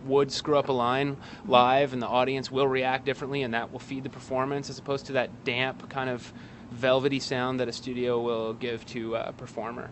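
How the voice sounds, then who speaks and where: A man giving a casual interview as a guest, speaking in a hesitant, halting way with wry asides, outdoors in an alley. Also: medium pitch, level tone. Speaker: guest, actor and playwright. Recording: street noise, traffic outdoors.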